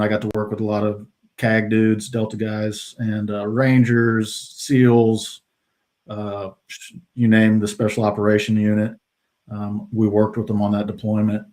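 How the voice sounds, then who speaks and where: A middle-aged American man speaks as a podcast guest, his voice low and fairly flat in tone. He talks in a loose, easygoing way, with plenty of ums and uhs as he tells his story. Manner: relaxed storytelling with many fillers. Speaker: podcast guest, retired special forces soldier. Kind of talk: casual interview talk.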